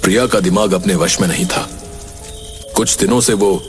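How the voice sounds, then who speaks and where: Low-voiced grave young man played by an actor. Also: normal speed, faint music behind, lightly acted. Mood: serious. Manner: serious, explanatory, dramatic. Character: grave young man warning his friend about witchcraft. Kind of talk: Hindi cartoon story dubbing.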